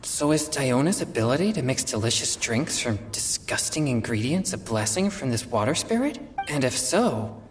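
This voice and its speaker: An earnest young male exorcist from a video game, acted by a man. He sounds curious and inquisitive, at an average male pitch, slightly distant, with faint music behind. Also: lively intonation, moderate pace, lightly acted. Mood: curious, puzzled. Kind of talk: scripted video game voice acting.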